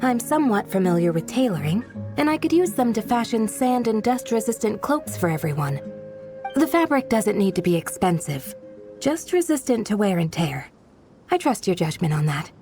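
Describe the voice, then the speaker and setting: A woman playing a modest guardian of a desert village in a video game, speaking in a measured, polite and formal manner. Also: mid-range voice, very animated, a little mellow, faint music behind. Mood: composed, sincere. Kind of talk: video game character dialogue.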